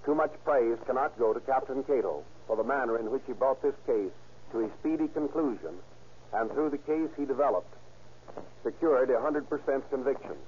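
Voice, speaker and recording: Man, police chief narrating, medium pitch, formal scripted reading, vintage radio, dull recording, faint noise. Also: moderate pace.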